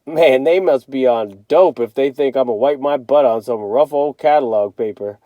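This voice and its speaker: A man's voice: medium pitch, moderate pace, no echo, narrow, tinny audio, lightly acted. A man reading a children's novel aloud as a streetwise, wisecracking teenage boy who complains in slangy disbelief. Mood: indignant.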